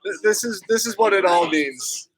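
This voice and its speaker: A man's voice: sits high, animated, normal speed, crowd noise, poor audio. A young man chatting on a livestream, hyping up viewers and rallying the chat. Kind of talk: casual chat.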